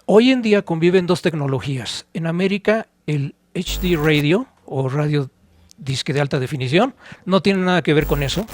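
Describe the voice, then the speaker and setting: A man with a Mexican accent explaining things informally on a podcast, didactic, pausing and posing rhetorical questions. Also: on the high side, lively intonation. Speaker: podcast co-host and audio expert.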